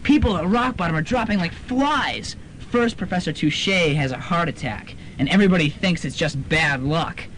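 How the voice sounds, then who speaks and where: A man playing a dramatic, excitable college student, urgent and slangy, in a student radio drama. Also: fairly high pitch, normal speed. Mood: urgent, ominous. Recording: noisy amateur student radio recording.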